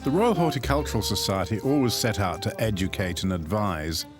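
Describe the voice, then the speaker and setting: A man presenting a documentary about a garden, speaking in a measured, explanatory way. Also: on the low side, very animated. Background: background music.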